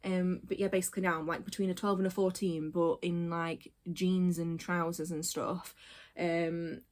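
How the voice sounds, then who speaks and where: A woman in her late twenties talks casually to her camera. She rambles and goes off on tangents, filling pauses with ums and likes. Her voice sits a little lower than most women's. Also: flat delivery, a little mellow. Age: 20s. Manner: rambling, chatty, full of fillers and tangents. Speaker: vlogger. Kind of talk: casual personal vlog.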